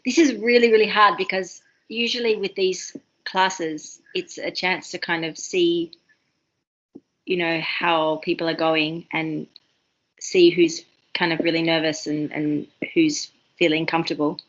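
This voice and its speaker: Aussie woman tutoring a seminar over a video call, fast and excitable, lowish voice, kinda dull muffled audio. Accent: Australian. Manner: fast, excitable, many ums and restarts. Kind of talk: online seminar teaching.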